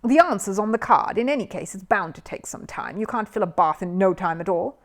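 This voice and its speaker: A woman's voice: medium pitch, lively intonation, a little fast, close to an everyday voice. A woman voicing a pompous, theatrical, easily flustered male quiz-show host in a storybook reading. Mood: irritated.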